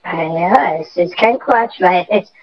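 Brief: lower, gravelly woman, hesitant and apologetic, lo-fi phone sound. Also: slow. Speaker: caller returning a missed call. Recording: dull lo-fi phone recording.

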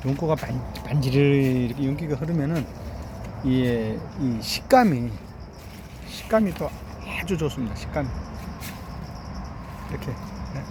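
A man's voice: mid-range voice, noisy background. A middle-aged man, a grape farmer, proudly shows his harvest in a vineyard and keeps urging the viewer to look. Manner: proud, boastful, repeats "look here" and asks "eh?". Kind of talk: casual vlog walkthrough. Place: outdoor vineyard.

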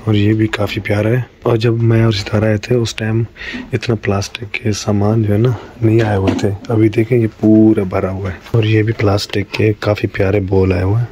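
Family vlogger, male, 40s, lowish voice, chatty running commentary, phone at arm's length, noisy background. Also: little pitch movement, normal speed, mellow. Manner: chatty, narrates every step, urges others to hurry. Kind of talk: casual running vlog commentary. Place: in a car, at home and in a supermarket. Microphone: phone camera held at arm's length. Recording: noisy handheld phone audio.

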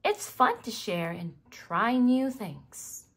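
A woman reading a children's book aloud in an expressive way, speaking directly to young listeners. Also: a little high, slow, husky. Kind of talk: scripted read-aloud narration for young children.

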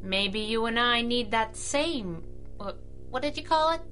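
High, animated, plucky girl sidekick. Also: unhurried, faint music behind. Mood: subdued. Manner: excitable, slangy. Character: plucky, feisty young female masked sidekick. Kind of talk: 1930s-style radio drama acting.

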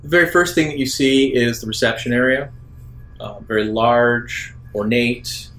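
A man acts as game master for a tabletop roleplaying session. His voice sits at a middle pitch, and he lays out each scene deliberately, often pausing midway through a description. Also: fairly bright tone. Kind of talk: improvised narration of a tabletop sci-fi roleplaying game.

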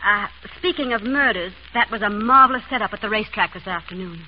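An actress in a 1930s radio comedy-mystery playing a headstrong, blithely theatrical ex-wife who writes mysteries. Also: female voice, mid-range voice, fast talker, lightly acted. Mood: excited. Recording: dull, noisy 1930s radio recording.

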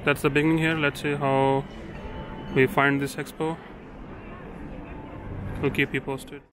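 A man's voice: little pitch movement, measured pace. A man narrating a casual walk-around vlog in a hesitant, unscripted way. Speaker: vlogger. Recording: noisy outdoor site, ambient rumble.

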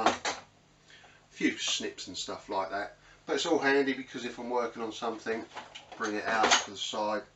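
A middle-aged British man giving a casual, chatty, unscripted tour as host of a woodworking channel. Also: lowish voice. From United Kingdom. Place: small cluttered indoor home workshop. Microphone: camera mic a few metres away. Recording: roomy, distant mic, faint background noise.

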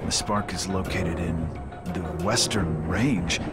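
An actor playing a gruff, terse rabbit hero in a video game, curt and stoic in manner. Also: male voice, low-pitched, takes it slowly. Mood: businesslike. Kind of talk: video game cutscene voice acting. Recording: game audio with music behind.

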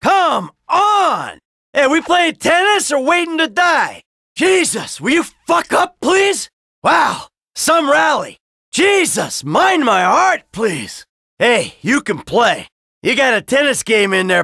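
A sarcastic, short-fused middle-aged American man from a video game. His delivery is forceful and animated, yet unhurried, with an exasperated bite. The recording is close and dry. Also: very high-pitched, gravelly, dry recording, exaggerated voice. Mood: irritated, competitive. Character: sarcastic, hot-tempered middle-aged man in midlife crisis. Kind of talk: video game character barks.

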